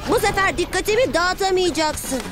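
A woman's voice: very high-pitched, lively intonation, moderate pace. A woman playing an earnest, determined six-year-old boy lizard superhero in a dubbed children's cartoon. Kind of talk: animated children's series dub. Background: music.

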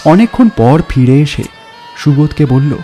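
A man narrating a crime story in a measured, suspenseful, dramatic storytelling style. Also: fairly high pitch, animated, mellow. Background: background music.

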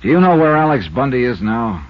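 A man's voice: mid-range voice, big pitch swings, fairly quick, close to an everyday voice. A man playing a shrewd, unflappable investigator with an American accent in a 1950s radio detective drama. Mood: firm. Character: shrewd, unflappable male insurance investigator, probing and wry. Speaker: lead actor and narrator. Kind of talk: 1950s radio detective drama acting and first-person narration. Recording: dull, band-limited 1950s radio recording.

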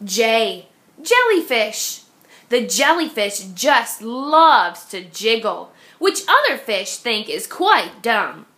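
Woman, high animated voice, slow sing-song delivery, children's picture book read-aloud, expressive.

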